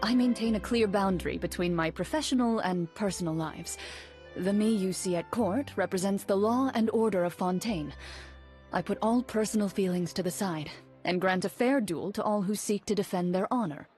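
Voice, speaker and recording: A woman voicing a composed female champion duelist in a game, calm, reserved and formal. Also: mid-range voice, normal speed, faint music behind, close to an everyday voice. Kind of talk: game cutscene voice acting.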